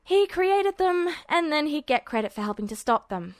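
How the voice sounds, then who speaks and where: A woman voicing a sharp, sarcastic teenage boy alchemist who explains things flatly in a story reading. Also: sits high, no room sound, studio quality, voice pushed up. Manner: flat, sardonic, quick to explain.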